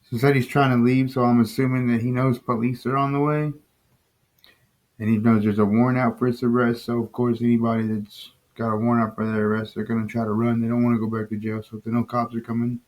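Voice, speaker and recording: A man's voice: medium pitch, level tone, a little fast, darkish tone, poor audio. A man giving casual, plain-spoken explanatory commentary as the host, in an informal way. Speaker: host commenting on police scanner audio.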